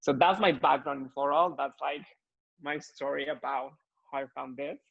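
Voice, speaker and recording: A young man from Mexico with a Mexican accent, speaking English as a second language in an earnest interview chat, often pausing with fillers to find his words. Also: mid-range voice, fairly even intonation, vocal fry, fairly bright tone, lo-fi sound. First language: Spanish. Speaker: floral designer guest.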